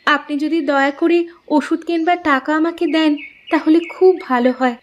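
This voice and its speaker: A woman's voice: high-pitched, fairly even intonation, moderate pace, lo-fi sound, close to an everyday voice. A woman voicing a humble, earnest poor village wife in a moral-story cartoon, pleading respectfully.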